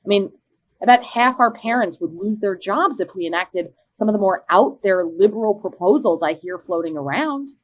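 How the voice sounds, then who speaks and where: A woman's voice: medium pitch, animated, moderate pace, natural voice, rough recording. A woman acting as an assertive, protective small-town mother, pointed and persuasive, in a scripted podcast drama. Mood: condescending, firm.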